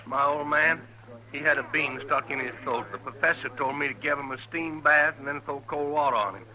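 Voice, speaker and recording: A man's voice: a little high, a little fast, bright, forceful tone, low-quality recording. A man playing a simple, aggrieved, vengeful townsman with blunt rustic speech in an old radio western.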